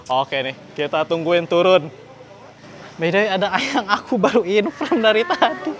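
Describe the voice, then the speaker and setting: A young man hosting a casual motorcycle vlog, excitable and full of slang, talking into a handheld mic outdoors at a race circuit. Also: sits high, unhurried, faint music behind. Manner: excitable, slangy, lots of exclamations. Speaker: motovlogger host. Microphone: handheld mic, camera close. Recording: noisy outdoor circuit with engines.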